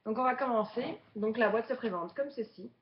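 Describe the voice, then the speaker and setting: A woman's voice: on the high side, a little fast, highs cut off. A woman of twenty-seven from Belgium, chatting casually to viewers as a beginner vlogger during an unboxing. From Belgium, Charleroi region.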